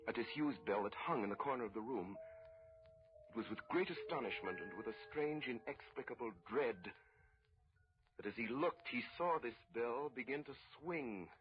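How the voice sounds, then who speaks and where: A man narrates a vintage radio play with a fairly low voice. The delivery is measured, grave and dramatic. The sound is a dull old broadcast with faint music underneath.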